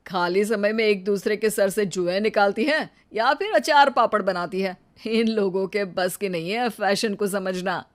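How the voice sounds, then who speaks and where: A woman acts out a young husband in a cartoon. He is casual and teasing, with a dismissive, mocking edge. The pitch is that of an ordinary female voice. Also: moderate pace, hardly any pauses, close to an everyday voice.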